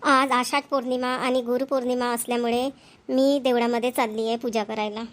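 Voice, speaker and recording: A woman's voice: on the high side, flat delivery, brisk, soft background music, lightly acted. A woman playing a cheerful, dutiful young married daughter in a cartoon, with a rural Maharashtrian Marathi accent and an eager, exclaiming manner. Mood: chatty. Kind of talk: Marathi cartoon family comedy voice-over.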